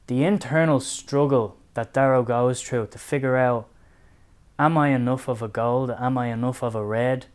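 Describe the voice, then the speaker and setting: A man's voice: medium pitch, a little mellow, clear, resonant tone. A man with an Irish accent giving a casual book review to camera, speaking in an earnest, reflective and personal way.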